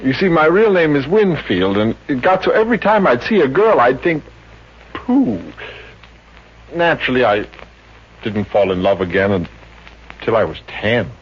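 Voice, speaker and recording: An actor playing a sensitive, self-pitying young psychiatrist in a 1950s radio comedy, hesitant and earnest. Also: male voice, mid-range voice, big pitch swings, moderate pace, pauses often, slightly rough. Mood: plaintive, self-pitying. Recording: muffled, hissy 1950s radio recording.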